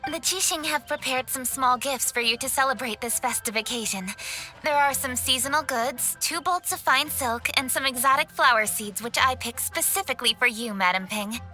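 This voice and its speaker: A brisk young woman official, formal and composed. Her voice sits fairly high. Soft game music plays behind. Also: poor audio. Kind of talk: game cutscene voice acting.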